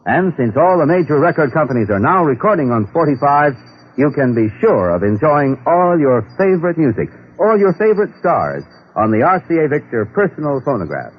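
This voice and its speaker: A man's voice: fairly high pitch, big pitch swings, brisk. A man reading a vintage radio advertisement as a polished, salesmanlike announcer. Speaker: commercial announcer. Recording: dull old radio recording, faint noise.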